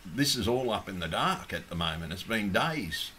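A man with a hoarse, croaky voice from talking all day, giving an angry political rant with heavy swearing. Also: on the low side, expressive, normal speed, slight background noise. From Australia. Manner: swears heavily, rises to shouting. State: hoarse, losing his voice. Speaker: activist vlogger.

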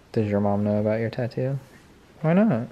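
A man's voice: fairly low pitch, highs cut off. A young man filming at home, teasing and joking with his partner. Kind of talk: casual chat. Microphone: handheld camera, behind it.

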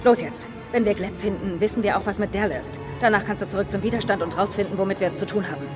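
An actress playing a serious, determined middle-aged soldier in a dramatic video game, speaking tersely. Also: female voice, medium pitch, quick pace, close to an everyday voice. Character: grave, determined veteran female soldier with regrets. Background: music.

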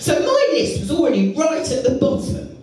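A woman's voice: high voice, moderate pace. A middle-aged woman doing a stand-up comedy set, telling stories and acting out other people's voices. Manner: animated storytelling with acted-out voices. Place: comedy club stage. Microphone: handheld microphone. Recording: echoey club, from far off, crowd. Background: audience laughter.

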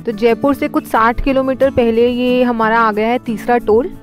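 A woman's voice: fairly high pitch, fairly even intonation, moderate pace. A woman in her thirties with an Indian accent, chatting casually as a travel vlogger while riding in a car. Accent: Indian English. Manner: chatty, mixes Hindi and English, gives tips. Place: inside a car on a highway. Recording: music playing under the voice.

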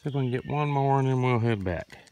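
A man giving relaxed commentary while fishing a creek on a handheld camera, chuckling and talking to viewers. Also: mid-range voice, fast, rough recording. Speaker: fishing vlogger. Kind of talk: casual outdoor commentary. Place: outdoors by a creek. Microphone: handheld camera, point of view. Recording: outdoor camera audio with insect noise. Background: crickets and insects.

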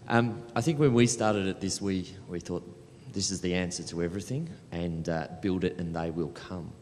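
Middle-aged male panelist, deep voice, explanatory delivery, hall reverb with some background noise. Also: moderate pace, darkish tone. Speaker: panelist, programme administrator. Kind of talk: panel discussion talk, explanatory. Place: large hall panel event with audience. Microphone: handheld microphone through hall. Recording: echoey hall, low rumbling noise.

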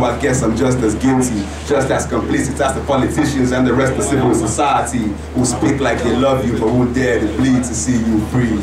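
A young Nigerian man reciting performance poetry with a rhythmic, impassioned delivery into a handheld mic at a live venue. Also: medium pitch. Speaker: spoken word poet. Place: indoor lounge venue with live audience. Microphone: handheld mic, far camera. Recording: roomy echo from far off, crowd behind.

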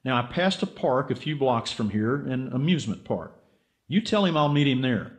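A man's voice: mid-range voice, big pitch swings, normal speed, close to an everyday voice. A man playing an earnest, stubborn American writer in a radio drama, blunt and insistent. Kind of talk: radio drama acting and narration.

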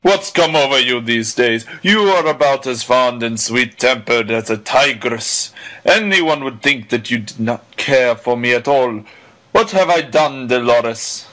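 An actor playing a blustering, swaggering bully who barks imperious, insulting orders in a dramatised audiobook. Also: male voice, medium pitch, expressive, measured pace, pushed and bright, poor audio. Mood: irritated, demanding.

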